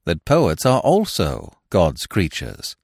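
A man voicing a suave young gentleman in an audiobook, with a smooth, grave, mock-formal manner. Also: medium pitch, huge pitch swings, normal speed, dry recording, studio quality, pitched above the performer's own voice. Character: suave, unflappable young English gentleman with florid courtesy.

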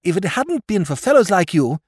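A man voicing an excitable young English aristocrat who talks in gushing exclamations, in a dry recording. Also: high-pitched, fairly quick, slightly rough, no echo, pitched above the performer's own voice, full character voice. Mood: excited. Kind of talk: audiobook character voice.

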